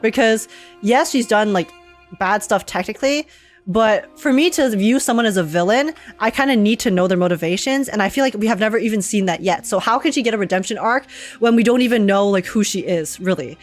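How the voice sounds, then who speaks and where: A young woman giving casual game reaction commentary, slangy and rambling, often acting out what others might say. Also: mid-range voice. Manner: rambling, animated quoting, slangy. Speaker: game streamer reacting to a story. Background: faint game music.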